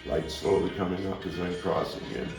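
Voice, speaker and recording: A man talking through the stage directions of a play in a halting manner, as its playwright and actor. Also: sits low, fairly even intonation, music in the background. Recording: roomy, noisy, distant microphone.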